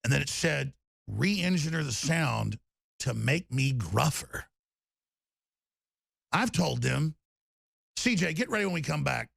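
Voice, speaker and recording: A man hosting a talk radio show, raspy and torn-up in tone, rambling emphatically with loud bursts and low mutters. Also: expressive, slow pace, long pauses, no echo, clean studio sound. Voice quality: raspy, gravelly voice. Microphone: studio microphone.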